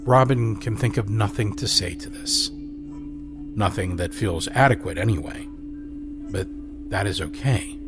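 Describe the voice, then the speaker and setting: A man narrating an audio drama with a slow, soft, measured reading. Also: lowish voice. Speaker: narrator and host. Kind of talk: scripted narration. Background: music score.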